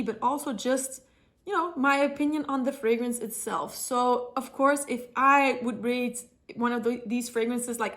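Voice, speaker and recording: A woman's voice: a little high, a bit bright. A woman with a cold and a slightly nasal, blocked-up voice, chatting casually through a perfume review with lots of fillers and restarts. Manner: chatty, many fillers and self-corrections. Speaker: fragrance vlogger.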